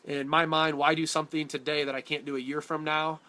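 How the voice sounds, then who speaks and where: Male fitness coach, blunt and direct, stuffy congested voice, bright tone, webcam live stream. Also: fairly high pitch, flat delivery, a little fast. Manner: direct, blunt, answers viewer questions by name. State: congested from a cold. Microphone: phone or webcam on a live stream.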